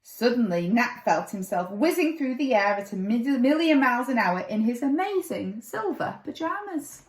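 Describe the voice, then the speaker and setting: A woman's voice: fairly high pitch, big pitch swings, normal speed. A woman reading a children's story expressively, with dramatic, playful narration, recorded in an echoey room. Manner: dramatic, playful with sound effects.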